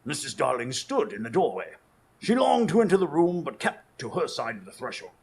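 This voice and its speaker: Guy as victorian doctor sidekick narrating, husky n creaky, very expressive, radio play. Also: medium pitch, brisk, exaggerated voice. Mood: solemn narration. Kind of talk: dramatic storytelling in a live radio drama.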